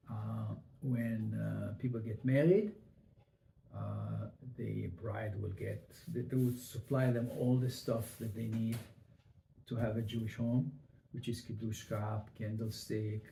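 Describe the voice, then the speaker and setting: An older man with a low, gravelly voice and a dark, mellow tone tells his family story slowly, stopping often to restart. He grew up in Israel. The recording has a roomy echo and a faint hiss. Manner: halting, with many fillers and restarts. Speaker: interviewee. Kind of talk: oral history interview. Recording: echoey room, faint noise.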